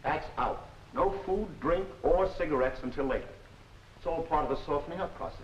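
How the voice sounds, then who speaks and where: A man's voice: a little high, a little fast, close to an everyday voice. A man playing a no-nonsense army captain who lectures firmly and precisely in a 1940s training film. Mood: curt. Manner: firm, matter-of-fact, precise. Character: brisk, no-nonsense male army captain instructor. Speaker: military instructor. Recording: dull, hissy old film soundtrack.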